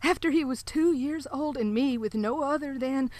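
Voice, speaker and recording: A timid, nervous sheriff's wife, as an actress plays her, in a radio play. Her voice sits high and sounds close and dry, and she halts often with anxious little laughs. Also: normal speed, darkish tone, no room sound, natural voice. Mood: solemn. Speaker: Mrs. Peters, sheriff's wife. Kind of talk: live radio play acting. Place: home closet.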